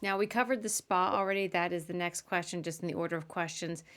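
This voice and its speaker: A woman's voice: fairly low pitch, steady tone, fast talker, fairly bright tone. A woman hosting a podcast, chatting casually as she interviews her guest. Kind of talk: casual interview on a live video show.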